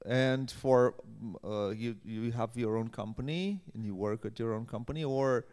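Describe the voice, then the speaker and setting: A man hosting a live workshop interview at a festival, speaking in a hesitant, halting way. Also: medium pitch, darkish tone, clean tone. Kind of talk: live event introduction and interview. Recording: echoey hall with long reverb.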